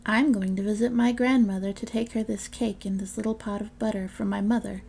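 A woman's voice: medium pitch, steady tone, a little fast, vocal fry, mellow, slightly rough. A woman voicing an innocent, chatty little girl from a fairy tale. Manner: naive, wondering.

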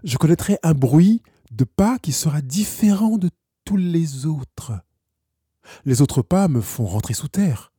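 A man voicing a lonely, wistful fox in a storybook, explaining gently in simple phrases. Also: on the high side, animated, measured pace, slightly rough, dry recording, clean studio sound, pitched above the performer's own voice, full character voice. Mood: wistful, hopeful. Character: wistful, lonely wild fox longing to be tamed.

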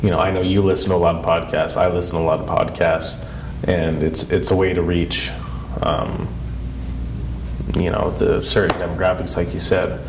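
A man's voice: sits low, flat delivery, moderate pace. A man with a congested, sniffly head-cold voice, chatting casually on a podcast in an informal, rambling, earnest way. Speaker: podcast co-host. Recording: echoey room with clear background noise.

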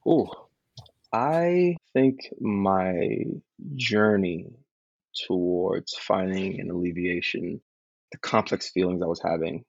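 A man from Liberia, a podcast guest, talking in an earnest, measured way about grief and healing. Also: low-pitched, creaky, breathy edge, no echo. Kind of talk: reflective interview talk. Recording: dull lo-fi call audio.

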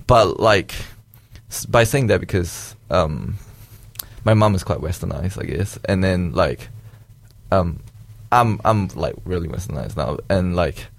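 A man's voice: low-pitched, lively intonation, measured pace, gravelly, no room sound. A young man from Malaysia, Chinese his first language, talking casually as an interviewee and hesitating with many fillers and restarts. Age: young adult. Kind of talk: casual conversation.

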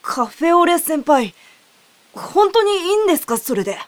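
A woman's voice: very high voice, big pitch swings, moderate pace, recorded from a distance. A woman voicing an earnest, anxious girl who narrates and speaks politely but with exasperation. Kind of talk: anime-style radio drama acting.

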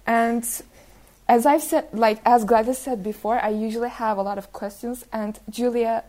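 A woman in her twenties from Turkey, co-hosting a casual podcast, careful with soft laughs. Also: a little high, fairly even intonation, normal speed. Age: 20s. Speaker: podcast co-host.

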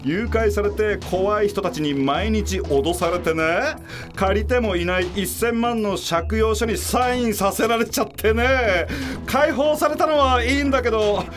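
An actor playing a flighty, flippant business consultant in a comedic radio drama, with a cutesy, chatty and breezy manner. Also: male voice, sits high, a little slow, fairly bright tone, faint music behind.

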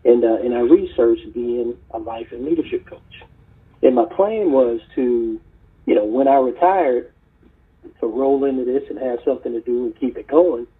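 A middle-aged man from South Carolina recounts his path in an earnest, rambling style, full of fillers and restarts. His voice has a husky edge. It comes through a tinny phone-line connection. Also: medium pitch, normal speed. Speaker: guest, retired police chief and leadership coach. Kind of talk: casual podcast interview.

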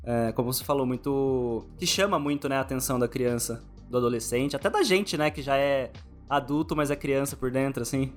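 A man's voice: medium pitch, brisk. Brazilian male podcast host over music. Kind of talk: casual interview conversation. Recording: music playing behind the voice. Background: background music.

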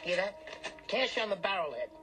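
An actor playing a desperate, greedy small-time gambler, brash and pushy, in a radio drama. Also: male voice, sits high, very animated, moderate pace, music in the background. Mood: cocky, excited. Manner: brash, wheedling, slangy, bullying.

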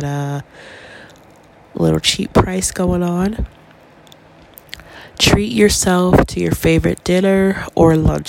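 A congested young woman hosts her podcast, her stuffy voice full of deep gravelly fry. She chats in a relaxed, encouraging way, filling pauses with ums. A faint noise sits under the recording. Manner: chatty, encouraging, many ums. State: congested with a cold, stuffy nose. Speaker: podcast host. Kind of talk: casual solo podcast advice.

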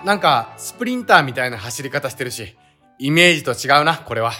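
A man's voice: mid-range voice, expressive, fairly quick, bright, forceful tone, faint music behind. A man playing an earnest, panicky young sightseer in a comedic radio drama, speaking in wordy, melodramatic lines. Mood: uneasy, matter-of-fact.

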